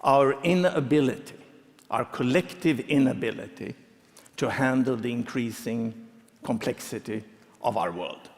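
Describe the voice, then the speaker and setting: Man speaking slowly in a large hall. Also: medium pitch. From Sweden. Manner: measured, phrase-by-phrase delivery. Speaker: conference speaker. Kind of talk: prepared talk on stage. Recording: long reverb of a large hall.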